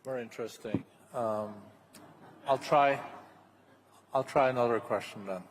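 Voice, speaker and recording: A man's voice: mid-range voice, measured pace, a little hiss or hum. Man moderating in an echoing hall. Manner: casual, filler-heavy questions. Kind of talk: onstage interview. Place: conference hall stage. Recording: reverberant conference hall.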